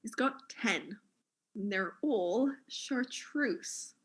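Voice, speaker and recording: An actress playing a vain, self-important fashion mogul in a comic audio drama, arch and condescending in manner. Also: female voice, on the high side, lively intonation, drawn-out words, husky, exaggerated voice, low-quality recording. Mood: indignant, boastful.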